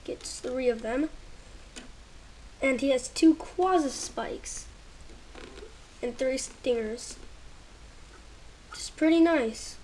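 A woman casually reviewing toys at home, halting and searching for words, sometimes dropping to a near whisper while handling pieces, recorded on a handheld camera held close. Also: sits high, darkish tone, a little hiss or hum, rough recording. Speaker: toy reviewer.

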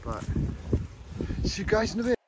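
A man's voice: high-pitched, big pitch swings, normal speed. A man hosting an outdoor vlog, chatting casually and rambling as he walks through winter woodland. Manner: chatty, rambling, laughs often. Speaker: outdoor vlogger and channel host. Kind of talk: casual walk-and-talk vlog. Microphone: camera mic on a stand outdoors. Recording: wind noise on an outdoor mic.

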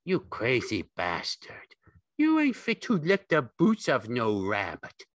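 A man's voice: mid-range voice, sing-song, slow, fairly bright tone, no echo, voice pushed up, exaggerated voice. A man voicing a gigantic imaginary rabbit in an audiobook, scornful and cruelly taunting in coarse speech.

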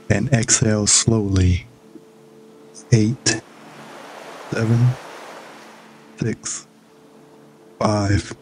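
A man with a fairly low voice leads a guided meditation as a scripted narration. He speaks very slowly, leaving long pauses between short instructional phrases. There is clear ambient noise behind his voice. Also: a little mellow.

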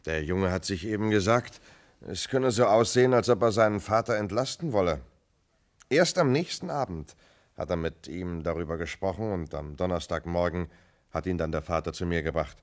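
Low-pitched, matter-of-fact police lieutenant. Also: male voice, lively intonation, moderate pace. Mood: explanatory. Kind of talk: German radio crime drama acting.

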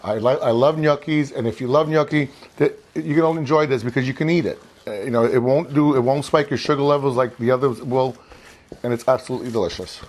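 A man in his fifties talks quickly through an informal cooking demonstration. His pitch is average for a man. He is chatty and circles back to rephrase what he just said, recorded on a lapel mic in a home kitchen. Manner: chatty, repeats and rephrases himself. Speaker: cooking show host.

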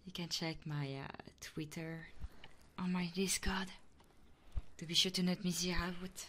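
A woman streamer doing ASMR and chat in a soft, intimate voice that often drops into whispers, close to the microphone. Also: lowish voice, level tone, unhurried, slight background noise. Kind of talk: ASMR roleplay and chatting livestream.

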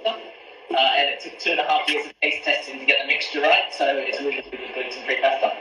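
A man's voice: medium pitch, fairly even intonation, fairly quick, pushed and bright, a little hiss or hum. A man, a pasta supplier explaining each cooking step as a guest on a live stream from his kitchen. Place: home kitchen. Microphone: camera a few feet away on a video call. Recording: echoey kitchen sound from the call.

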